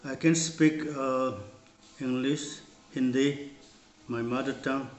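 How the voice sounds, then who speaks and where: Slow man reciting poetry. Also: mid-range voice, steady tone, reverberant. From Manipur, India. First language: Manipuri. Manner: deliberate, repeats each stanza twice. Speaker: poet.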